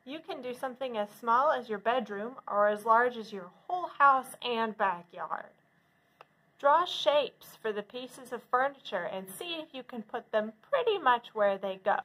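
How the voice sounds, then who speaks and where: A woman's voice: a little high, animated, unhurried, a bit bright, poor audio. A woman presenting as a children's librarian, explaining things to young viewers in simple steps. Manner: simple, clearly phased step-by-step explaining. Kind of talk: instructional talk for children.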